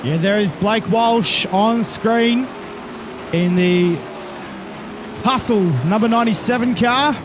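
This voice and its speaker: Casting note: Australian male race commentator, high voice, engine noise heard under the mic. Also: slow pace, pauses often. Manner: calls the action with driver and car details, says mate and reckon. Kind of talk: sim racing commentary. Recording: noisy broadcast mix with engine noise.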